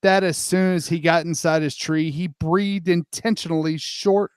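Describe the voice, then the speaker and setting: A man's voice: fairly high pitch, steady tone, normal speed, clear, resonant tone, dry recording, studio quality. A man narrating a comic dramatic storybook reading in a theatrical, irreverent, sweary manner with asides. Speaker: host and narrator.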